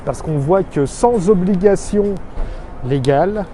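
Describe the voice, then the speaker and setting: Mellow, higher-pitched man on a city street. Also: slow. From Strasbourg, Alsace, France. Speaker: expatriate resident giving a video update. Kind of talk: informal spoken video report, explanatory. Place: outdoors on a busy city street corner. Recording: outdoor street noise, passing traffic. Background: faint urban traffic ambience.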